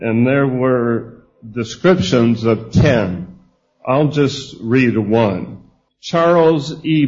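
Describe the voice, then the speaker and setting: A man's voice: mid-range voice, a little mellow, lo-fi sound. A man on a church panel, reading scripture and an article aloud in an earnest way. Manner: earnest, reads aloud with small restarts.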